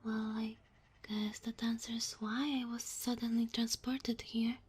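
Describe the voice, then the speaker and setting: A woman voicing a sweet, curious young kitsune fox girl in a soft ASMR roleplay, breathy and often near a whisper, speaking closely to the listener with naive, hesitant wonder. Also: a little high, flat delivery, a little slow. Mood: soft, impressed.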